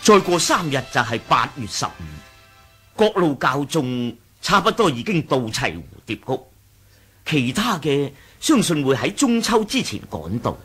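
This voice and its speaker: Husky, loyal sect lieutenant. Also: male voice, on the high side, big pitch swings, a little slow, bright, forceful tone, faint music behind, heavily acted. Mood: respectful, proud. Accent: Hong Kong Cantonese. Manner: formal, respectful. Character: loyal, deferential senior male lieutenant of a martial sect. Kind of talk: 1980s radio drama acting.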